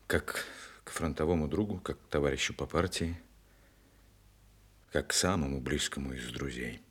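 Very deep-voiced, solemn old veteran. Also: male voice, breathy edge. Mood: solemn, earnest. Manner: solemn, measured, earnest declamation. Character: dying old war veteran, principled, proud Communist officer. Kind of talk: Soviet radio drama acting.

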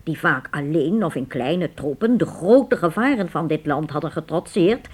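A woman narrates a radio drama with lively, expressive swings. Her voice is on the low side and husky, over faint music. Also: normal speed.

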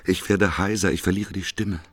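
An actor playing a despairing, bitter prophet with a hoarse voice in a German radio play. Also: male voice, sits low, fairly even intonation, moderate pace, no room sound. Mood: weary despair. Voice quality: hoarse, gravelly, rasping. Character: despairing old doomsday prophet, weary and bitter.

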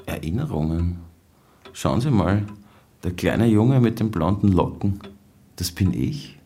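An actor playing a hesitant, evasive grown son, speaking haltingly in a radio drama. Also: male voice, deep voice, expressive, dark tone.

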